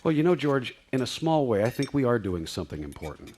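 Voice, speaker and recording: An actor playing a kind, tired father who speaks gently in a radio play. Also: mid-range voice, very animated, quick pace, slight background noise, lightly acted. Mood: gentle. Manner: gentle, warm-hearted.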